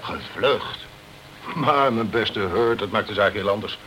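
A sceptical, blustering British consul, noisy recording. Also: male voice, on the low side, a little slow, sharp tone. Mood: indignant. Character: sceptical, self-protective British consul in the French Antilles. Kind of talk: crime radio play acting.

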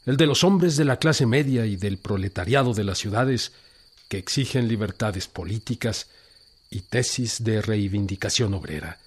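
An actor playing a Mexican revolutionary leader, with solemn, declamatory oratory in a radio drama. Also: male voice, medium pitch, breathy edge. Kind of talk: radio drama historical speech.

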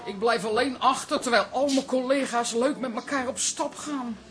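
An actress playing a dramatic, emotional aunt in a Dutch radio drama. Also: female voice, a little high, moderate pace, background noise, close to an everyday voice. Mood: self-pitying. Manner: dramatic, grumbling. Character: self-pitying, melodramatic aunt who turns overjoyed.

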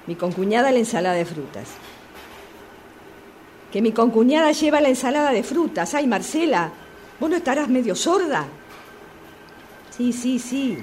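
A woman's voice: a little high, darkish tone. An actress playing a chatty, exasperated woman with a Rioplatense Argentine accent, gossiping and complaining in a radio play about a subway phone call. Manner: gossipy, exasperated, repeating herself. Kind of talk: radio theatre monologue acting. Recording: clearly noisy amateur workshop recording.

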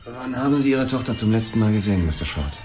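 An actor playing a calm, polite police detective who questions people in a probing way in a German radio drama. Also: male voice, on the low side, soft background music, lightly acted. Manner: measured courtesy, probing.

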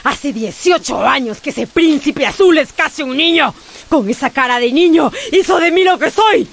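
An actress playing a bitter, scornful, ruined woman of the opium den in a radio drama, with a husky, creaky voice. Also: high voice, animated, normal speed.

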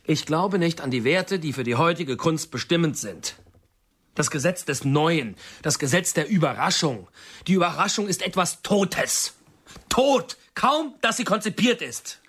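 A man's voice: fairly high pitch, lively intonation, unhurried, a bit bright, slightly rough, full character voice. An actor playing a bitter, possessive man in a radio drama, sarcastic and theatrical, moving between muttering and declaiming. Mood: bitter, brooding. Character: bitter, possessive man lecturing his old friends. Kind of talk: German radio drama acting.

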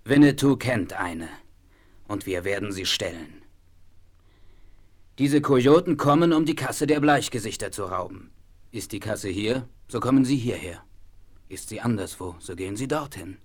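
An actor playing a proud, solemn and wise chief in a German audio drama, speaking in formal, measured phrases. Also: male voice, on the low side, expressive. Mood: resolute. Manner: formal, measured, speaks of himself in third person.

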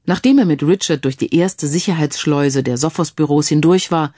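A woman narrating a scripted crime audiobook in a measured, clearly read manner. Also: low voice, warm tone, dry recording, clean studio sound.

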